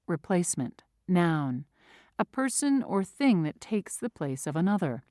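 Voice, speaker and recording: A woman's voice: mid-range voice, a little mellow, dry recording, studio quality. A woman reading vocabulary words aloud, saying each word twice before its meaning and an example sentence. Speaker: vocabulary reader. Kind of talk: slow, deliberate vocabulary reading.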